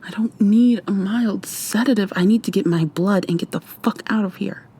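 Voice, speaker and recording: A woman playing a defiant, frightened young human captive in an intimate, hushed, breathy ASMR roleplay, tense and sweary. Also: mid-range voice, normal speed, a little hiss or hum, natural voice. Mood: angry, distrustful. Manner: tense, profane, confrontational.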